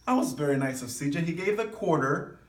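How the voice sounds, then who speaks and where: A man's voice: a little high, animated, brisk. A man reading a children's picture book aloud in an instructive way, stopping for asides and questions to his listeners. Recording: echoey room, distant mic, background noise.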